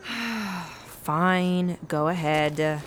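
A woman's voice: on the low side, very slow, slight background noise. A woman playing an impatient, determined traveler in a comedic audio drama, with a dry, curt, sarcastic manner. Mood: curt annoyance.

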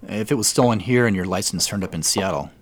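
Actor as awkward, lowish-voiced man in his thirties. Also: fast talker, slight background noise, natural voice. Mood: tentative. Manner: hesitant, self-deprecating. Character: awkward, modest city businessman in his late thirties. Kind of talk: naturalistic audio drama dialogue.

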